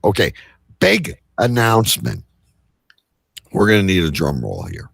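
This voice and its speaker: A man hosting a live show in casual chat, with a halting, rambling delivery. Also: sits low, wildly swooping pitch, creaky, very noisy. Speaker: host of a live online show.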